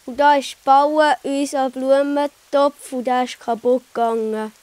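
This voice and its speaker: Casting: woman as conscientious young boy, very high voice, measured delivery, children's audio play. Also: level tone, darkish tone, no echo. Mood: sheepish.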